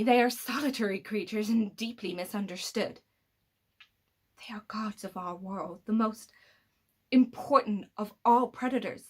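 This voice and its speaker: A woman performing a dramatic monologue as an embittered, coldly superior killer with psychic powers, pausing heavily and dropping into breathy whispers. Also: fairly high pitch. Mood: fascinated, hushed, then cold, contemptuous. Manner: slow dramatic pauses, sinking into breathy whispers.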